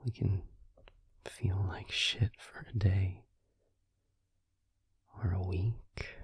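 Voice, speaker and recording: A man voicing a tender, devoted vampire boyfriend in an intimate ASMR comfort roleplay, speaking in a breathy, husky whisper close to the microphone. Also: sits low, darkish tone, no echo, full character voice. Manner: slow, tender, soothing, reassuring. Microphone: close microphone, dry.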